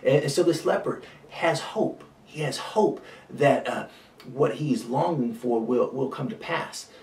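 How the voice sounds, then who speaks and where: A man speaking as a pastor in an earnest, measured devotional sermon, repeating key phrases with pauses. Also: mid-range voice, darkish tone. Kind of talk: short devotional sermon talk. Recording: roomy echo, distant microphone.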